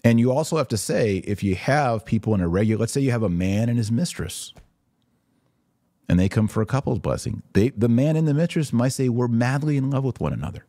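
A man's voice: mid-range voice, expressive, a little fast, dark tone, no room sound, studio quality. A man hosting a podcast, giving emphatic live commentary and answering viewers, often repeating short phrases for stress. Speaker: podcast host and religious commentator.

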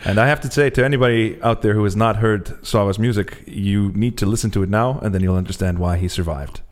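A man's voice: on the low side, quick pace. A man hosting a podcast in a casual, conversational interview style. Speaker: podcast host, songwriter.

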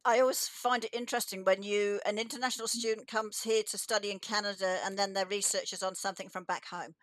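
A woman's voice: mid-range voice, steady tone, fast talker, no room sound. A woman hosting an interview, prompting her guests with questions and frequent short acknowledgements. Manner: warm-toned prompting, frequent backchannels. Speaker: host.

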